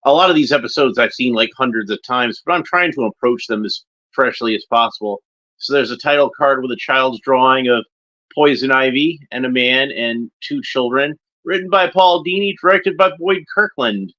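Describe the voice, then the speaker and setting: A man's voice: medium pitch, normal speed, pushed and bright, highs cut off. A man hosting a watchalong with casual, rambling, unscripted commentary and short observational remarks. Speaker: host of a cartoon watchalong commentary.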